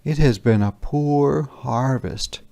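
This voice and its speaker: A man's voice: mid-range voice, slow, creaky, a little mellow, voice pushed up. A man voicing wary, stingy peasant villagers in a storybook, plaintively making excuses. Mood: sorrowful excuses.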